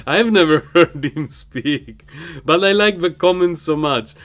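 A man's voice: a little high, takes it slowly, fairly bright tone. A man with a non-native English accent chatting casually while playing a game on his couch, rambling and laughing often, into a mic in front of him. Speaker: gaming channel host. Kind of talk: casual gameplay commentary. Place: home, on a couch. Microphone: microphone raised in front of him.

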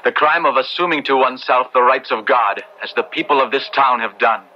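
A man's voice: medium pitch, brisk, close to an everyday voice. A man playing an earnest, principled young clergyman in an old radio drama, speaking formally and with passion. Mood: troubled, earnest. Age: young adult. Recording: muffled, faintly noisy old radio recording.

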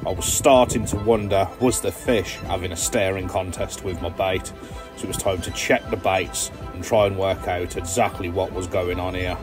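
Male vlog host, casual and colloquial, easygoing narration with music underneath. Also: mid-range voice. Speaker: angling vlogger and host.

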